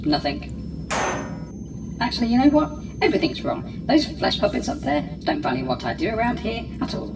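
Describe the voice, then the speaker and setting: Woman as a grumpy, animated robot mechanic. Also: fairly high pitch, normal speed, distant mic, background noise. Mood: bitter, sarcastic. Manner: sardonic, griping. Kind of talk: sci-fi audio drama acting.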